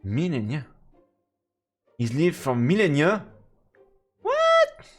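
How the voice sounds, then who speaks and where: A man's voice: a little high, huge pitch swings, takes it slowly, soft background music. A man streaming a video game live, reacting theatrically with exclamations and reading narration aloud.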